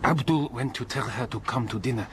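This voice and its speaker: An actor playing a polite, deferential local tour guide in a radio drama, speaking formally over noisy ambience. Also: male voice, mid-range voice, fairly quick, lightly acted. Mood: urgent, apologetic. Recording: noisy ambience behind dialogue.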